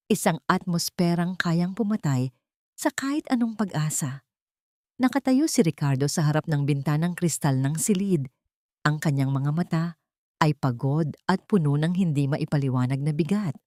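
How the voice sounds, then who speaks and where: A woman's voice: low voice, darkish tone, no echo, studio quality. A woman narrating a scripted audio drama in a solemn, literary storytelling manner.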